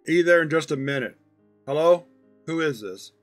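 A man's voice: on the high side, expressive, moderate pace, faint music behind, natural voice. Man acting a fond, chatty old grandpa. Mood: fond, teasing. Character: affectionate, proud, teasing old grandfather, an uncanny entity.

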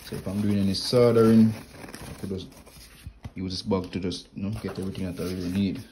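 A man with a fairly low voice talks through his work tools in an easy, plain way, with lots of "like" and "right here." He is recorded indoors on a phone held close to the bag. Faint clicks and handling noise sit under his voice. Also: brisk. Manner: relaxed, plain talk with many "like" and "right here". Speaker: HVAC technician showing his tools. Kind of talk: casual show-and-tell vlog. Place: indoors at home, wooden floor. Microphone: phone camera held close, filming his hands.

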